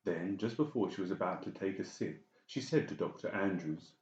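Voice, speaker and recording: Low-voiced male narrator, echoey room. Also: lively intonation, moderate pace, darkish tone. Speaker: audiobook narrator. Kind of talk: dramatic scripted narration. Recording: dull, echoey room recording.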